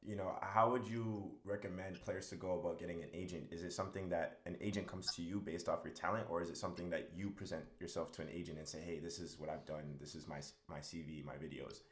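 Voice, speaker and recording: A man hosting an interview, asking brisk, short questions and quick follow-ups. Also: deep voice, steady tone. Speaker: interviewer host. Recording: roomy echo.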